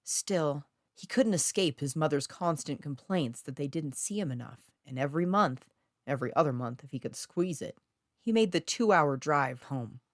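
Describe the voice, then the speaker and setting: A woman's voice: low voice, expressive, moderate pace, no room sound, clean studio sound. A woman reading her own eerie short story aloud as scripted narration.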